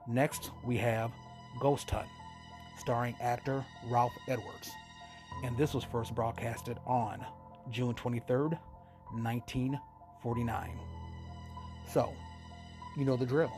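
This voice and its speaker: A man's voice: mid-range voice, steady tone, slow, long pauses. A man giving a scripted introduction as host of an audio show. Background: music.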